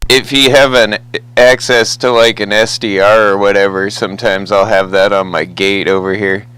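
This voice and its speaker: A man's voice: fairly low pitch, fairly even intonation, moderate pace, a bit bright, a little hiss or hum, low-quality recording. An American man chatting casually over the radio as a hobbyist, with an easygoing, folksy manner. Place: two-way CB radio conversation.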